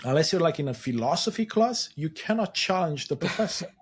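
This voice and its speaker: A man's voice: on the high side, lively intonation, brisk, slightly rough. A man from Romania, co-hosting a podcast in a casual, reflective way and often checking in with "right?". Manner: affirming, reflective, tags statements with "right?". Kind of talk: casual conversational podcast chat.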